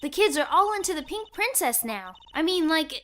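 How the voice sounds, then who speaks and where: Woman doing a perky teen girl sidekick, super high bright voice, eager and mischievous, game dub. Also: sing-song, moderate pace, heavily acted. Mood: perky, curious. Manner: bubbly, childlike, eager. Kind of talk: dubbed visual novel game dialogue. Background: background music.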